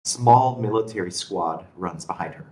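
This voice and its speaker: Very deep man narrating in echoey room. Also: moderate pace, darkish tone. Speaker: reader of screenplay action lines. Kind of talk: scripted narration at a table read. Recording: echoey room with faint noise.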